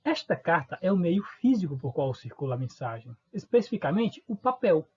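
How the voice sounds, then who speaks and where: Northeastern Brazilian teacher with a husky voice. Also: male voice, fairly high pitch, big pitch swings, moderate pace, darkish tone, highs cut off. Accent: Northeastern Brazilian Portuguese. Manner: informal, asks students questions and answers them. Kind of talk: recorded online lesson.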